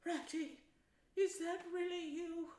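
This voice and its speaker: A woman's voice: very high voice, little pitch movement, takes it slowly, mellow, reverberant, pitched above the performer's own voice. A woman voicing a small, frightened, exhausted male mole in a storybook, with a feeble, plaintive, polite manner. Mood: frightened, relieved. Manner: plaintive, apologetic.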